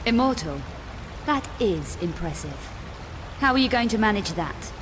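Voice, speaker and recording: An actress playing a cool, sardonic adventurer in a video game, with a dry, deadpan, unafraid manner. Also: female voice, medium pitch, moderate pace, background noise, natural voice. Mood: dry probing. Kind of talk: video game cutscene acting. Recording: music under the voice.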